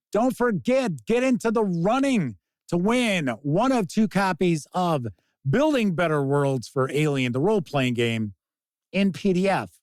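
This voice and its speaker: Male game reviewer, slightly high voice, close dry sound. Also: darkish tone, dry recording. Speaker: tabletop game reviewer and channel host. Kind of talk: casual review to camera.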